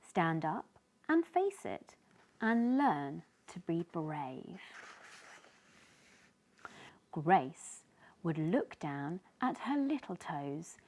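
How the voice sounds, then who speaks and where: Slow, very expressive woman reading to children. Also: on the low side. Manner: slow, animated, speaking to young children. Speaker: children's story reader, host. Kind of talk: expressive read-aloud of a children's picture book.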